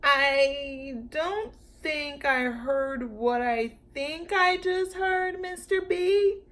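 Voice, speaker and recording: A woman's voice: sits high, drawn-out words, a bit bright, voice pushed up, exaggerated voice. A woman voicing a bossy, snippy little girl character from a children's storybook, speaking emphatically. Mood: amazed.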